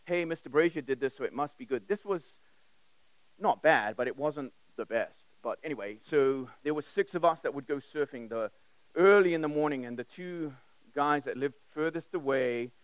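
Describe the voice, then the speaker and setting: A man's voice: medium pitch, normal speed, no room sound, poor audio. A man who grew up in South Africa preaching a sermon in a conversational, emphatic way, with direct questions, repeated phrases and personal stories. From South Africa, born in England.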